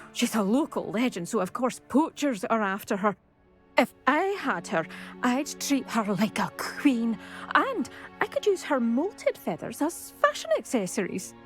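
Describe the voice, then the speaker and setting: A vain, chatty woman from a video game who cares about fashion. Her voice is fairly high and lively in its rise and fall. She sounds breezy, polite and pleased with herself, with faint music behind. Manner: breezy, self-satisfied, polite. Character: vain, chatty fashion-minded woman coveting a rare bird. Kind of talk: video game character dialogue.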